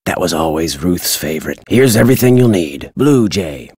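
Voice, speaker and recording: A gruff, folksy birdwatcher from an adventure game. His voice is lowish and husky, recorded close and dry. He talks like a crotchety but deliberate teller of local tales. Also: lively intonation, a little mellow, dry recording. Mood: plain, informative. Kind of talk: video game character dialogue.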